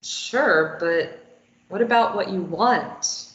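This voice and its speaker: A woman's voice: mid-range voice, takes it slowly, close to an everyday voice. A woman reading a sardonic, probing young captive at a play table read, teasing and wry. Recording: echoey room, muffled lo-fi.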